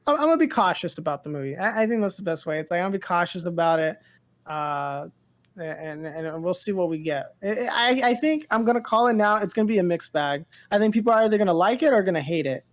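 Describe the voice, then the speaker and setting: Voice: medium pitch, animated, quick pace. Podcast cohost just chatting, kinda rambly, keeps repeating phrases. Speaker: podcast co-host. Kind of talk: casual pop culture chat.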